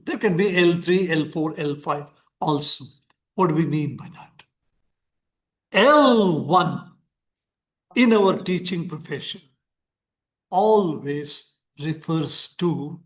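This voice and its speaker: An older man with an Indian English accent giving a teacher-training lecture, speaking slowly and emphatically, with lots of repetition. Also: a little high. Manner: slow, emphatic delivery with frequent repetition. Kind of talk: lecturing and questioning participants. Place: lecture hall.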